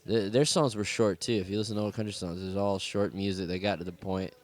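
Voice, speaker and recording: Laid-back Southern man with a low voice. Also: steady tone, fast. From American South. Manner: laid-back, rambling, swears casually. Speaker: musician guest. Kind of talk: casual interview chat.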